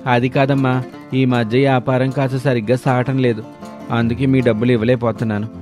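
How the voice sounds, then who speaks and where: A man's voice: mid-range voice, flat delivery, a little fast, a little mellow, faint music behind, lightly acted. A man voicing a humble street vendor in debt, apologetic and pleading, in a cartoon moral story. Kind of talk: dramatic Telugu moral story cartoon dialogue.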